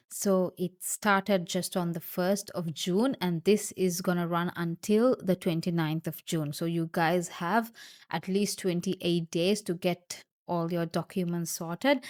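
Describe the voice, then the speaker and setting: A woman's voice: lowish voice, fairly even intonation, measured pace, darkish tone. A woman from Sri Lanka, a doctor, patiently explains job terms in an informal video, repeating key points and speaking directly to viewers. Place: Queensland, Australia.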